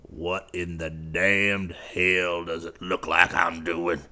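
A man doing a gruff, snarling old rancher. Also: sits low, level tone, bright, forceful tone, heavily acted. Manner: slow growling snarl, curses.

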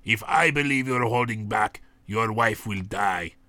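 A man reading aloud voices a cold, calculating male robber who speaks slowly and threateningly. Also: on the low side, bright, forceful tone, no echo. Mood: menacing.

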